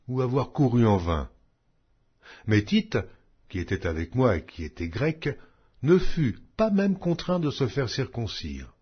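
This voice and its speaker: Solemn male Bible reader, husky, lively pitch. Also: muffled recording. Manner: measured, solemn reading with wide pitch swings. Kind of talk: scripted scripture reading.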